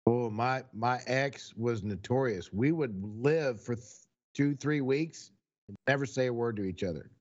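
A man's voice: mid-range voice, measured pace. A man co-hosting a podcast in casual chat, talking earnestly and offering advice to listeners. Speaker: podcast co-host. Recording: slightly dull, lo-fi sound.

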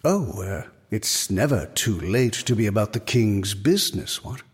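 A man's voice: lowish voice, expressive, a little slow, slightly rough, exaggerated voice. A man playing a nervous, shifty tax collector. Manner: flustered, hesitant. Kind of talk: audio drama acting.

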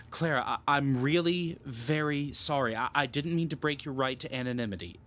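A man playing a gentle, flustered young priest in an audio drama, hesitant, apologetic and prone to stammering. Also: medium pitch, moderate pace, a little hiss or hum, natural voice. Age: young adult.

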